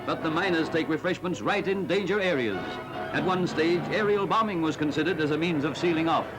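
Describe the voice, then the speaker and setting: A man's voice: fairly high pitch, brisk, poor audio. A man giving formal, dramatic scripted narration on an old newsreel soundtrack with music. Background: loud music.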